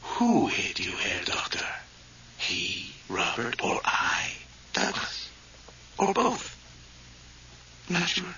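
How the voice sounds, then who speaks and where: An actor playing a mocking, commanding spirit who possesses a man, deliberate and hissing into whispers, in a radio horror drama. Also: on the low side, huge pitch swings, heavily acted. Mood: mocking, sinister. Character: Douglas, sinister taunting male spirit possessing a man. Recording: roomy, distant, noisy dull radio recording.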